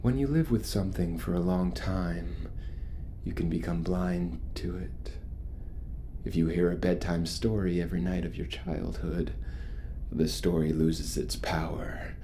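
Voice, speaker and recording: An actor playing a charismatic, ominous cult leader in a horror audio drama, preaching grandiosely with rhetorical pauses. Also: male voice, deep voice, warm tone, a little hiss or hum. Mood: mystical, commanding. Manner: theatrical, grandiose, pausing for rhetorical effect.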